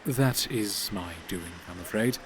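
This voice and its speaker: A man playing a low-voiced, fussy, polite reaper. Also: a little hiss or hum, close to an everyday voice. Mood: composed, explanatory. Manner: formal, measured, fussily precise. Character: polite, unflappable, eccentric male reaper guiding souls. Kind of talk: audio drama acting.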